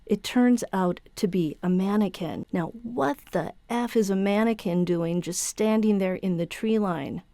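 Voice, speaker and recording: A woman's voice: medium pitch, a little slow, few pauses, dark tone, no echo. A woman hosting a true crime show, narrating and commenting on events.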